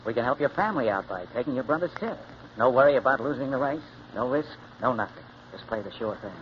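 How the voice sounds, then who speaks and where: A man's voice: medium pitch, lively intonation, fast, natural voice. A man playing a shady, coolly coaxing gambler in 1940s radio acting, talking in slangy, insinuating terms. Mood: coaxing menace. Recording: muffled, hissy old radio recording.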